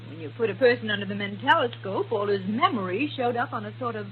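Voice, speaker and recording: An actress playing a curious, trusting space-adventure companion in a 1930s radio serial, plainspoken and inquisitive. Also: female voice, medium pitch, fast talker, lightly acted. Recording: muffled, noisy 1930s radio recording.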